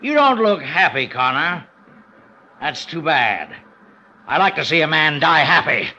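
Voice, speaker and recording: An actor playing a smug, menacing embezzler and killer with a cynical sneer, in old-time radio suspense style. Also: male voice, fairly high pitch, big pitch swings, measured pace, sharp tone, full character voice. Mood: gloating menace. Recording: dull, noisy vintage radio recording.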